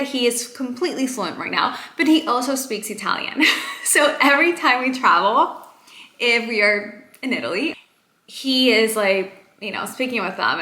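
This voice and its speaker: A woman's voice: a little high, bright, forceful tone. A woman whose first language is Spanish, chatting to camera at home in an upbeat, encouraging way as a lifestyle vlogger. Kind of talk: casual chat to camera. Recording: roomy echo.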